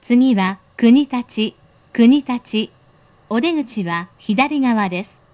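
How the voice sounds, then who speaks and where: A woman reading a scripted train announcement in a polite, formal, clearly enunciated manner. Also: fairly high pitch, animated, drawn-out words, darkish tone, muffled recording.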